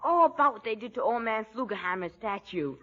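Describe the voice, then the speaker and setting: A woman plays an eager schoolboy gossip in a 1940s radio comedy. The kid talks very quickly and slangily in a fairly high voice, on a muffled recording. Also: lively intonation, sharp tone. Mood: gossipy, gleeful. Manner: chatty, slangy.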